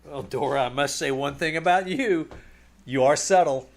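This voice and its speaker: A man's voice: a little high, normal speed, vocal fry. Grumbling middle-aged dad male actor, blunt and dry, old-time radio comedy, noisy live stage recording. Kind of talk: 1940s radio comedy acting. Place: live community theater stage.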